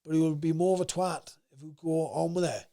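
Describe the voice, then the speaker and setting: A young man with a South Wales valleys accent and a gruff voice, talking bluntly and swearing freely as a podcast guest. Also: a little high, fairly even intonation, fairly quick, warm tone. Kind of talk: casual interview chat.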